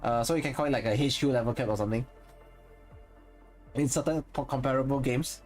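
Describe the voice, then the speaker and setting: A man's voice: mid-range voice, a little fast, darkish tone, soft background music. Rambling Singaporean man chatting on stream. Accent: Singaporean English. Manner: rambling, thinks aloud and corrects himself mid-sentence. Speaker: streamer and content creator. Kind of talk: casual live-stream chat with viewers.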